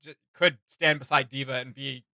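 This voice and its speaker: A man's voice: mid-range voice, steady tone, normal speed, vocal fry, sharp tone, dry recording, studio quality. A man hosting a reaction video with casual, unscripted commentary, rambling through his thoughts in slangy, enthusiastic fan talk.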